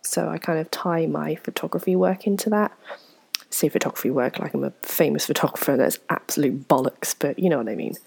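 Young woman, twenties, husky voice, mellow tone, frank and rambling, whispery asides. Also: deep voice, fairly quick. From England. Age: 20s. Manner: frank, self-deprecating, rambling with many ums and soft whispery asides. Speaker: vlogger answering viewer questions. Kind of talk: casual Q&A chat to camera.

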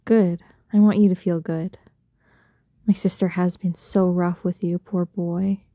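A woman speaking in an intimate adult roleplay style straight to the listener, soft, breathy and coaxing, full of doting pet names. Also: on the low side, level tone, measured pace, dry recording, studio quality. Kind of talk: intimate erotic roleplay audio, addressing the listener.

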